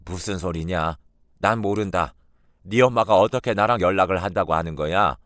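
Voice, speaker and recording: A man's voice: low-pitched, moderate pace, a bit bright, no echo. A man voicing a bitter, grumpy old grandmother who scolds defensively, in a read-aloud story. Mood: defensive scolding.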